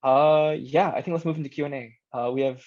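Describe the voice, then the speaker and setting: A guest speaker with a somewhat low voice talks casually and earnestly in a workshop setting. The phrasing restarts often and is peppered with "like". The sound is dull and lo-fi, as on an online call. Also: steady tone, brisk. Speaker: guest speaker, audio drama creator.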